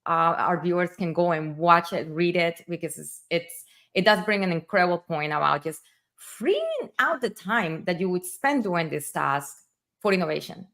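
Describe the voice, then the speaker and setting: A woman's voice: lowish voice, animated, normal speed, creaky, fairly bright tone. A woman hosting a livestream, a native Spanish speaker with a Spanish accent, talking in an enthusiastic, chatty way full of fillers. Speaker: livestream host. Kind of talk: casual livestream conversation.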